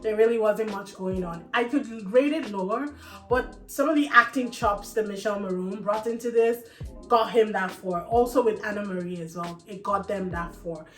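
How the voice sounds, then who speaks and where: A woman reviewing movies on her channel in a chatty, animated way, laughing often. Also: medium pitch, moderate pace. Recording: echoey room with faint music.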